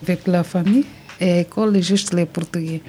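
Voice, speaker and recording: A woman's voice: fairly low pitch, fairly even intonation, normal speed, mellow, slight background noise. A woman from Guinea-Bissau, whose first language is Creole, speaking simple learner French.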